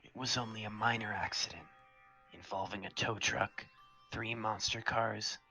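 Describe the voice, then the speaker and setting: A man playing a soft-spoken, self-deprecating young man with a gentle, teasing, admiring manner in a fan fiction roleplay. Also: fairly low pitch, fairly even intonation, moderate pace, soft background music. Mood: gentle, reassuring.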